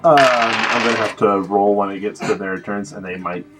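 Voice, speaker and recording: A man's voice: on the low side, fairly even intonation, moderate pace. A man acting as game master, casually refereeing a tabletop role-playing session. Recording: music behind the talk. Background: music.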